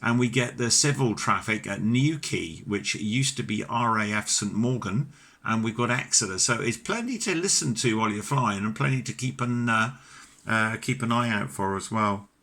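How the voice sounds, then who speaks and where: A man's voice: on the low side, moderate pace, gravelly, fairly bright tone. A middle-aged British man chatting casually as an amateur radio operator, courteous and talkative. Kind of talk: casual ham radio chat.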